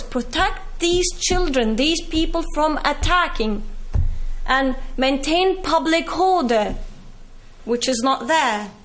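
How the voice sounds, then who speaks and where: A woman in her twenties with a Palestinian British accent, earnestly telling her personal story to an audience with halting self-corrections and wry asides. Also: high-pitched. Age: 20s. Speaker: speaker giving a talk. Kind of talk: personal testimony talk to an audience.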